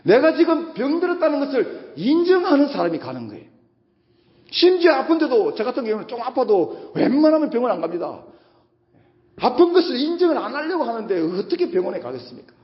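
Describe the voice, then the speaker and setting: A man with a husky voice preaching a Sunday sermon as a pastor, speaking emphatically, repeating phrases and putting rhetorical questions to his congregation. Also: extremely high pitch, wildly swooping pitch, a little fast. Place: large reverberant church hall. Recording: muffled, echoey hall with long reverb.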